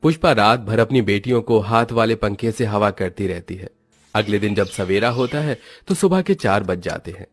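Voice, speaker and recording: A man's voice: on the low side, lively intonation, normal speed. A man narrating a scripted cartoon story as its storyteller.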